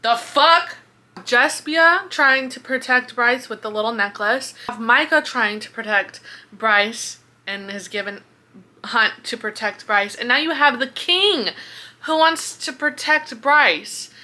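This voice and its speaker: Woman doing casual book reactions, highish bright voice, sweary and expressive. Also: takes it slowly. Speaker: book reviewer vlogger. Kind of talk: casual reaction chat to camera.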